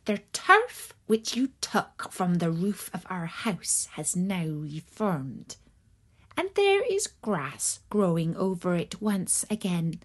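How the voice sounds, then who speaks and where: A woman voicing a small, solemn fairy lady in a storybook, giving stern instructions in a hushed, measured manner. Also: on the low side, sing-song, breathy edge, heavily acted. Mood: solemn, commanding.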